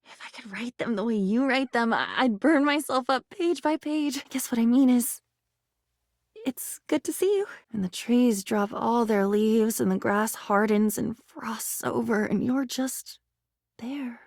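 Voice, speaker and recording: A woman's voice: a little high, a little slow, darkish tone, dry recording, studio quality. A woman voicing a young, earnest, dreamy living object in a video game, whimsical and theatrical, mixing flowery formal words with chatty asides. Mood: wistful, melancholy. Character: female living diary, young, earnest, dreamy, newly self-aware. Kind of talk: video game character voice acting.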